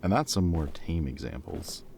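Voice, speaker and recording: A man's voice: sits low, moderate pace, vocal fry, darkish tone, a little hiss or hum. A man playing a former villain in an audio roleplay, a playful, loyal friend who talks in a casual, wry, bantering and hesitant way, as if in conversation. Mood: cautious, serious.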